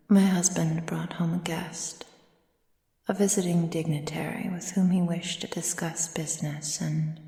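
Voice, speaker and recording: A woman playing an ancient, proud, possessive aristocratic vampire in a soft, breathy ASMR roleplay with formal, measured delivery. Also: on the low side. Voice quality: breathy, soft, often near whisper. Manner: slow, formal, measured, imperious. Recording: roomy sound with long reverb.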